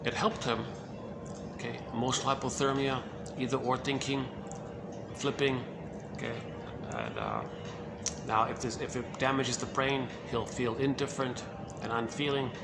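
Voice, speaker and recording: A man with a low voice giving an informal, rambling talk on psychoanalysis, often saying "okay" and "uh" as he goes. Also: steady tone, normal speed, vocal fry, long pauses, heavy background noise. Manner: rambling, lots of "okay" and "uh" fillers. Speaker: lecturer on psychoanalysis. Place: room in a shared building.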